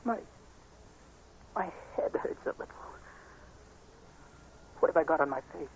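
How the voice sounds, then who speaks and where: A man's voice: on the high side, lively intonation, a little slow, gravelly. Intense young man, old noisy radio. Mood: groggy. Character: anguished young male eye patient, suspicious and accusing. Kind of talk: 1940s radio acting, first-person narration and dialogue. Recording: noisy, muffled 1940s radio recording.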